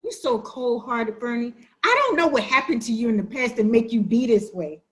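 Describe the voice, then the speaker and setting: A woman's voice: a little high, lively intonation, normal speed, lightly acted. A woman acting a hurt, defiant, sassy sister who makes emphatic accusations in a dramatic play reading. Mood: hurt, solemn. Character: wounded, defiant younger-minded sister confronting her sibling.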